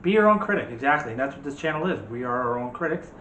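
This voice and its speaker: A man's voice: mid-range voice, animated, fast talker, sharp tone. A man hosting a casual movie discussion with playful, teasing banter. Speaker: host of a movie channel. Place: indoors in an echoey room. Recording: echoey room with faint background noise.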